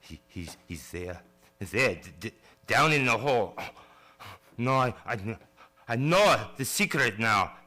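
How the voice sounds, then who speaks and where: An actor playing a terrified ship's mate on the edge of madness, stammering and agitated in an old-time radio play. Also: male voice, mid-range voice, animated, unhurried, bright, forceful tone. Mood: raving terror.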